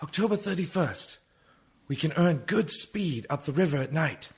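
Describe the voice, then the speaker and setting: An actor playing a resolute adventurer with a raspy, gravelly voice in a radio play. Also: male voice, on the high side, animated, moderate pace, heavy background noise. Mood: determined.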